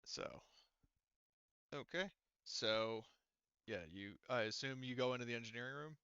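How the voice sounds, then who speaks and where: A man narrating a tabletop game as game master, casually and haltingly, with informal, sweary phrasing. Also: mid-range voice, pushed and bright, smooth, no echo, muffled recording.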